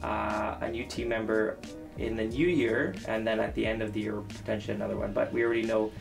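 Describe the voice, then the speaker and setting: A man's voice: lowish voice, steady tone, quick pace, creaky. A man in his thirties hosting a casual office interview into a desk microphone, friendly, with many fillers and restarts. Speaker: real estate team lead, host. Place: small office. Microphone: desk microphone on stand. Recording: music bed under voice.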